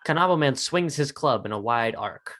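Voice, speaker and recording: Guy reading screenplay action lines, mid pitch, scripted narrator. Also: expressive, moderate pace, a bit bright. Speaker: narrator reading stage directions. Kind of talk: scripted narration, dramatic table read.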